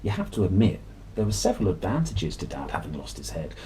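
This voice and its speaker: Animated man reading a children's story. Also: low-pitched, fast talker, a little hiss or hum. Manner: expressive, dramatic emphasis with playful asides. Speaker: storybook reader.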